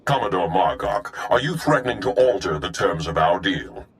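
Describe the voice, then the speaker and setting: An actor playing a cold, commanding villain leader in a video game, speaking in a formal, imperious and menacing way. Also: male voice, low-pitched, very animated, moderate pace, vocal fry, exaggerated voice.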